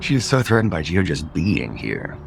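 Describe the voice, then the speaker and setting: Troubled adult son, very low gravelly voice, guarded manner, naturalistic soap opera drama, music underneath. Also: male voice, big pitch swings, moderate pace, full character voice. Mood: bitter, earnest. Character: conflicted, guarded adult son, unhappily married father. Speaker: actor in an audio soap opera.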